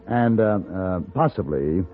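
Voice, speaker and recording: An actor playing a composed, dry, commanding gentleman gunfighter in a 1950s radio western, speaking with measured formality. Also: low voice, lively intonation, faint music behind. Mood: wry, easy. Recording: narrow-band 1950s radio recording.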